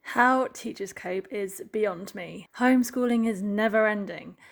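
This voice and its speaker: Actress as exasperated, higher-voiced mother. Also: natural voice. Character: exasperated, worried homeschooling mother. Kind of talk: spoken diary monologue in a short film.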